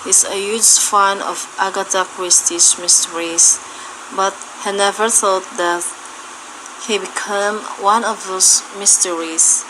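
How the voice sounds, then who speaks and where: A woman playing an earnest, devoted adopted daughter in a fan audio murder mystery, speaking deliberately. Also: medium pitch, fairly even intonation, lightly acted. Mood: wistful. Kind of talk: fan audio drama acting. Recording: clear hiss and room hum.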